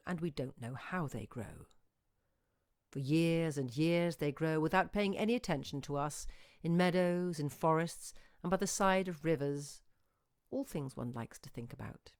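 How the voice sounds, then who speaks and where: A woman reading a literary short story as a storyteller, with measured, expressive phrasing and deliberate pauses. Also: low-pitched, no room sound, clean studio sound. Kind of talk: dramatic literary narration.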